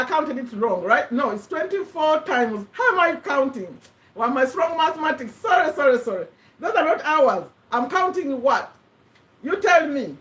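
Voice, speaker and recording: A woman's voice: high-pitched, a bit bright, low-quality recording. A woman in her fifties from Uganda, talking casually to camera, rambling and correcting herself as she goes. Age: 50s. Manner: rambling, self-correcting, earnest. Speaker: vlogger. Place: USA.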